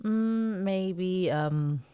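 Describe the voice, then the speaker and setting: Woman, low voice, slow and halting, casual podcast guest, close dry sound. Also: mellow, clear, resonant tone, no echo. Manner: hesitant, searching for words in short broken phrases. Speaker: guest actress being interviewed. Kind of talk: casual podcast interview chat.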